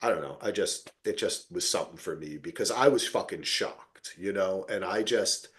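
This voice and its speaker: A man's voice: low voice, moderate pace, fairly bright tone. A man hosting a casual TV recap podcast, speaking emphatically, swearing freely and acting out people's reactions. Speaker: podcast host.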